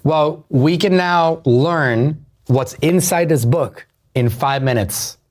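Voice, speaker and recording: A middle-aged man with a Puerto Rican accent lectures on business and marketing. He hammers home his points by repeating key phrases and throwing questions at his listeners. His voice sits somewhat high for a man. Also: slow pace. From Puerto Rico. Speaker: podcast host, marketer. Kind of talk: motivational business talk to an audience.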